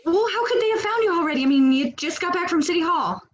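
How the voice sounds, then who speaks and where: A woman's voice: very high voice, animated, fast talker, muffled recording. A woman playing a bossy, exasperated protest organizer in a video-call comedy, with pointed, sarcastic emphasis. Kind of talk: comedic stage acting over video call. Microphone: video call microphone.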